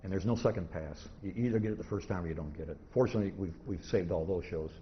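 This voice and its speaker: A middle-aged American man presenting an informal lecture at a convention, in a halting, methodical, anecdotal manner. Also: low voice. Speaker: presenter, old-time radio collector. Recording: dull, noisy live room recording.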